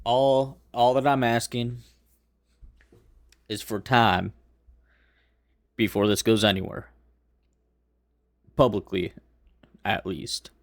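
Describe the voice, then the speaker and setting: A man playing a tabletop roleplaying game, improvising his character's lines in a measured, deliberate way, in short phrases. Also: medium pitch, flat delivery, no room sound, studio quality.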